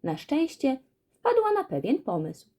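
A woman narrating a children's fairy tale as a storyteller, in an expressive scripted reading. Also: high voice, a little slow, muffled recording.

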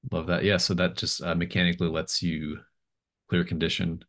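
Man, game master, deep voice, casual tabletop narration, easygoing, hesitant pauses. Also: flat delivery, fairly bright tone.

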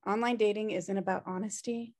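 A woman's voice: mid-range voice, fairly even intonation, brisk, a little mellow, natural voice. A woman playing a sardonic, pushy slacker sister in her thirties, dry and teasing, at a comedy table read on a video call. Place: home room on a video call.

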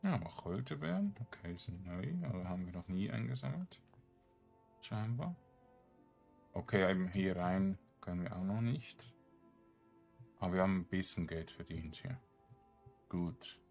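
A man with a very low, gravelly voice talks through a video game casually. He muses to himself in short, halting remarks, as a let's play host does. Soft music plays faintly behind him. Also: animated. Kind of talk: casual gameplay commentary.

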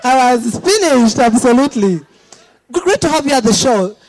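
A man's voice: extremely high pitch, expressive, moderate pace, a little hiss or hum, low-quality recording. A middle-aged man with a Ugandan accent hosting a talk show into a handheld mic, teasing his guest and laughing often between stammered restarts. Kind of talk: joking talk show chat. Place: TV studio set with low lights.

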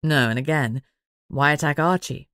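Woman putting on a deep, measured man's voice. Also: a bit bright, smooth, no room sound, studio quality. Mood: measured, thoughtful. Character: calm teasing man, werewolf packmate and investigator.

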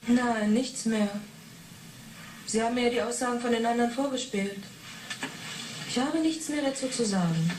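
A woman's voice: a little high, moderate pace, pauses often, lightly acted. Defiant young female reporter, roomy and distant acted by a woman. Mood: curt, defiant. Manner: terse, stubborn, earnest. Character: defiant 28-year-old female reporter under questioning. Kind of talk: 1980s German radio drama acting. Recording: roomy, distant, hissy interrogation tape.

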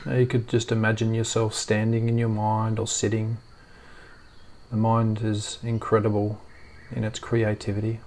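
A man leading a guided meditation in a soft, soothing, gently reassuring way. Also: on the low side, completely flat delivery, normal speed, a little hiss or hum.

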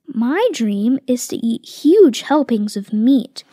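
A woman reading a children's picture book aloud, slow and expressive. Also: on the high side, a little hiss or hum. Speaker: reader voicing tiger cubs.

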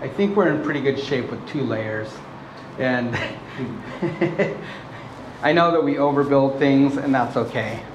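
A man in his thirties talks through his boat refit in a relaxed, plainspoken way, often pausing with ums. His voice bounces around an echoey hull, and faint noise sits underneath. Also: mid-range voice, highs cut off. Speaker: boat owner showing a refit. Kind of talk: casual walkthrough video. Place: inside a fiberglass boat hold and on deck.

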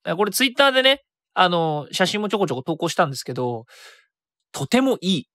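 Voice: mid-range voice, big pitch swings, a little fast, fairly bright tone, no room sound, clean studio sound. A radio host chatting casually and solo about a hobby, thinking aloud and self-correcting along the way.

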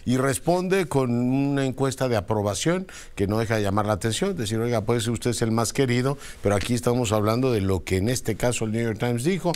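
A man's voice: mid-range voice, a little fast, few pauses, a little mellow. A middle-aged Mexican man, a news anchor, hosting an interview in a TV studio and prompting his guest conversationally.

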